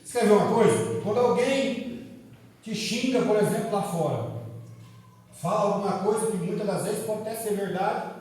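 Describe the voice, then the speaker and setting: A man with a Brazilian Portuguese accent preaching an evening sermon, asking rhetorical questions and swinging between quiet and loud appeals. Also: high-pitched, moderate pace. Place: large echoing church hall. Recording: echoey hall from far off.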